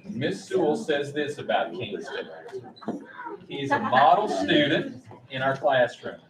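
A man's voice: medium pitch, very animated, unhurried, a loud crowd. Distant man presenting in a roomy meeting space. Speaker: school official presenting staff and student awards. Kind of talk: formal announcements, reading tributes aloud. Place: large meeting room, indoors. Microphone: distant room microphone. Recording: roomy, distant mic, faint noise.

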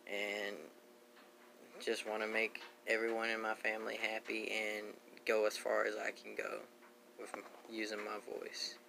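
A young man giving a casual, halting, low-key spoken introduction to a self-taped audition. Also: completely flat delivery, gravelly, bright, forceful tone, a little hiss or hum, rough recording. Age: young adult. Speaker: amateur singer auditioning. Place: small room at home, indoors. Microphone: phone at arm's length.

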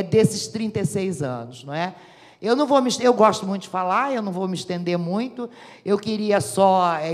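A middle-aged woman with a Brazilian Portuguese accent giving a formal opening speech at a panel table on a handheld mic, hesitant with many fillers and restarts. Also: mid-range voice. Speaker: academic association representative. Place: large auditorium, seated at a panel table. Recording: long hall reverb.

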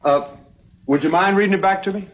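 An actor playing a genial, sly young American promoter in a TV western, with a smooth salesman's patter. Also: high voice, fairly quick, natural voice. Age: young adult. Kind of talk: 1960s TV western acting. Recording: dull old television soundtrack.

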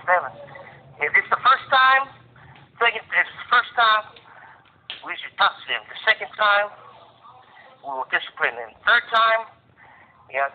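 A man talking casually and bluntly in street slang, telling his story as an inmate interviewed over a prison phone line. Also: a little high.

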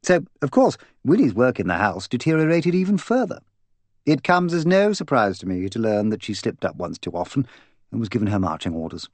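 A man's voice: mid-range voice, sing-song, brisk, dry recording. A man voicing an imperious, gossipy, opinionated English woman in an audiobook, bossy, emphatic and voluble. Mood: gossipy, confiding. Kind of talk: audiobook character dialogue.

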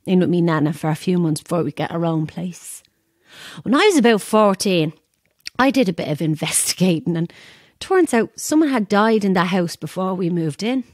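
Irish woman theatre worker, plain-spoken, no-nonsense, lively intonation, dry studio sound, audio drama. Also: fairly low pitch, dry recording. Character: brisk, no-nonsense theatre worker hiding a grim past. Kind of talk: naturalistic audio drama acting.

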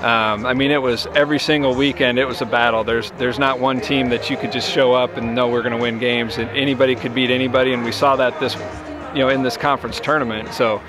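A man in his thirties, a head baseball coach, giving a postgame interview outdoors at a ballfield at night, measured and full of you-knows. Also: medium pitch, steady tone.

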